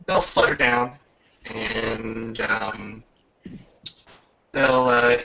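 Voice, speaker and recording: A man narrating a tabletop game as game master in a halting way, often pausing mid-sentence, on a dull home microphone. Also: mid-range voice, steady tone, creaky, pushed and bright.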